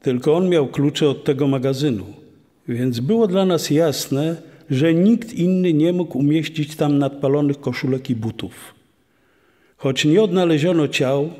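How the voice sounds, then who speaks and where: A man's voice: fairly high pitch, measured pace, dark tone, close to an everyday voice. A man playing a sombre police investigator recalling a case in scripted testimony. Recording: long reverb, music underneath.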